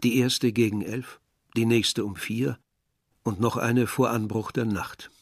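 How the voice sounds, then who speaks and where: An actor playing a reflective man narrating his village youth in a literary radio drama, measured and articulate. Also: mid-range voice, fairly even intonation, dry recording, clean studio sound.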